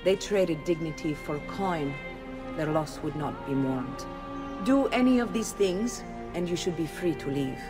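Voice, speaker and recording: A woman's voice: low voice, animated, measured pace, music in the background. A woman with an Italian accent playing a composed, shrewd madam who gives counsel in a video game. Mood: calm, shrewd. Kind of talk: dramatic video game acting.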